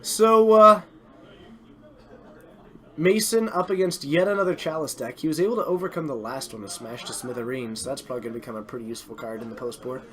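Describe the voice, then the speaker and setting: A man hosting and commentating a live card game stream, chatty and self-deprecating, while slowly losing his voice. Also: medium pitch, fairly bright tone, breathy edge.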